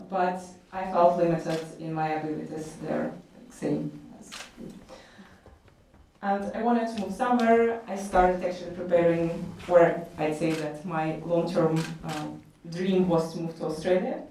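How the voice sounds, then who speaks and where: A woman from Russia speaking on a conference panel in a measured way, with small laughs. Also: lowish voice, mellow. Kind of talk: panel discussion. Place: conference hall. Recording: echoey hall, distant mic, faint noise.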